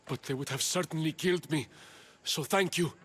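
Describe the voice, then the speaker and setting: An actor playing a theatrical, indignant and easily frightened Athenian politician in a video game. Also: male voice, on the high side, normal speed, fairly bright tone, lightly acted. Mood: relieved. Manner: agitated, theatrical, wordy.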